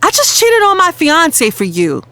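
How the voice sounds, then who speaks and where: A woman's voice: very high voice, huge pitch swings, unhurried, pushed and bright, dry recording, exaggerated voice. A woman playing a torn, sassy lover in an audio drama, yearning for more from her secret affair. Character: torn, sassy cheating lover wanting commitment.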